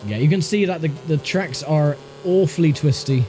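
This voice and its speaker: Stuffy-nosed guy grumbling through a kart race, mellow voice, game music faint behind. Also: fairly high pitch, moderate pace. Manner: dry, wry grumbling about the game. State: congested from a sinus infection. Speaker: gaming YouTuber. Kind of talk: casual gameplay commentary.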